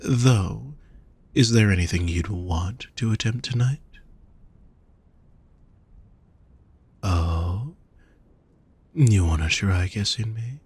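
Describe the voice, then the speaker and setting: Casting: male performer as a gentle demon boyfriend for intimate audio roleplay; husky, unhurried, tenderly teasing. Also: fairly low pitch, no room sound, full character voice. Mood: affectionate, coaxing.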